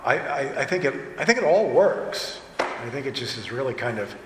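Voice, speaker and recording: Man, mid-pitched, rambling casual panel talk, reverberant hall, faint room noise. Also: very animated, normal speed. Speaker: director and panelist. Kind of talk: casual convention panel discussion. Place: convention panel room, indoors. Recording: echoey hall, noisy room ambience.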